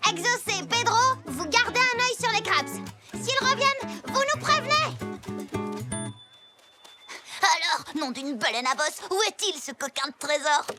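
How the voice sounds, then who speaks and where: A bossy, adventurous little girl, very high-pitched and eager, giving orders to her friends. Her cartoon dialogue plays over clear background music. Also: measured pace. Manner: eager, commanding, excitable. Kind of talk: French cartoon voice acting.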